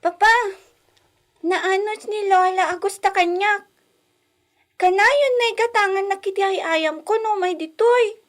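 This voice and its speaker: A woman playing a small, plaintive daughter with a slow, childlike, pleading manner in a radio drama. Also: very high voice. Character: small plaintive girl, daughter pining for Mama.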